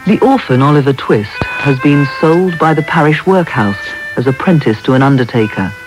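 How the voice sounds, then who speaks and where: A man's voice: mid-range voice, lively intonation, normal speed, poor audio. A man reading scripted narration for a radio drama. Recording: medium-wave radio broadcast taped off air. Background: music.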